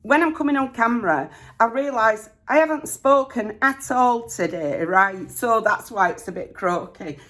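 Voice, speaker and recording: A middle-aged woman with a Northern English accent, slightly croaky, chatting homely and rambling while doing her makeup. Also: fairly high pitch, normal speed, a bit bright. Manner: rambling, homely, lots of ums and rights. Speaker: vlogger. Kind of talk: chatty get-ready-with-me storytime.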